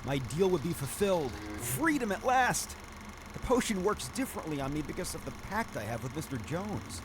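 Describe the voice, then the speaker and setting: A man's voice: on the high side, sing-song, normal speed, noisy background. A man playing an earnest, secretive undead alchemist in a video game, speaking with urgency. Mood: hopeful, excited. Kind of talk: video game cutscene acting.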